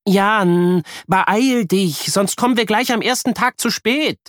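A man doing a hurried, stressed mother. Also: high-pitched, fairly bright tone, no room sound, studio quality, pitched above the performer's own voice. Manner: hasty, scolding.

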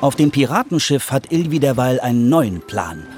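A man's voice: medium pitch, very animated, normal speed, close to an everyday voice. A man narrating a scripted children's adventure story over music. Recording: music under the voice.

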